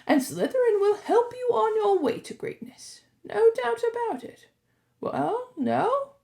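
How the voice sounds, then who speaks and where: A woman voicing an old male sorting hat that muses slowly and coaxes. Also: very high voice, sing-song, creaky, mellow, pitched above the performer's own voice, full character voice. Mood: musing.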